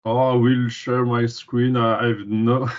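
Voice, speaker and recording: A man's voice: medium pitch, level tone, slow, muffled recording. A native French speaker in his forties from Belgium, a man with a Belgian French accent, presenting informally and hesitantly. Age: 40s. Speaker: guest presenter at a career webinar. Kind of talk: informal self-introduction presentation.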